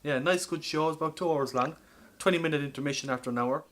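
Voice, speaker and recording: A man hosting a casual comedy podcast, talking in an animated, teasing way with some swearing. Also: a little high, quick pace. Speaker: podcast host.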